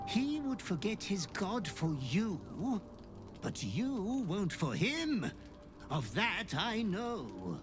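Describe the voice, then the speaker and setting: An actor playing a sinister male butler in a video game, speaking smoothly, slowly and with menace. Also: sits high, huge pitch swings, music in the background, heavily acted. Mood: menacing. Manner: smooth, sinister, slow and insinuating. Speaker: game character.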